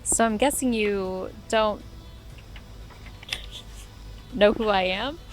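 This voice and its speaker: A woman reading the part of a young vigilante heroine in a comedic script reading, deadpan and clipped. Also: medium pitch, measured pace, faint music behind, natural voice. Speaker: guest reader.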